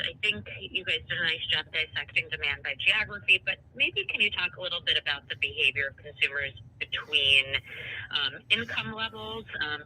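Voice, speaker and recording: A man's voice: high voice, pushed and bright, a little hiss or hum, poor audio. A man speaking as a financial analyst, asking questions on an earnings call.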